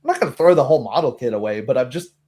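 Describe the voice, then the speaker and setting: A man in casual, animated conversation about a tabletop game, talking as a game master and acting out imagined reactions in asides. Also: medium pitch, very fast.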